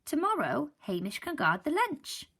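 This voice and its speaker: Storybook old wife, eager and kindly, pitched up high with lively swoops, as a woman reading aloud puts her on. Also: a little slow, heavily acted. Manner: bright, eager exclamations.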